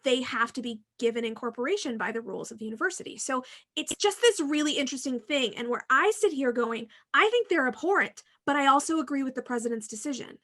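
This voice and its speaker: A woman's voice: high voice, lively intonation, quick pace, bright, forceful tone. An American woman hosting a casual talk, explaining her opinions frankly and emphatically in a discursive way. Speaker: podcast host and university teacher.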